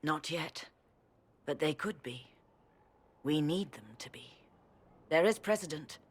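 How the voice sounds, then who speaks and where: A woman's voice: deep voice, lively intonation, slow, breathy edge, exaggerated voice. A woman playing an earnest, insistent church mother in a video game, formal and solemn in her speech. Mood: solemn, pleading. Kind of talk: video game dialogue acting.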